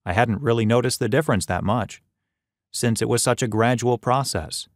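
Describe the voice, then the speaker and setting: A man reading scripted audiobook narration in a measured, expressive storytelling manner, close on the microphone. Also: mid-range voice, no room sound. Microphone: close studio microphone.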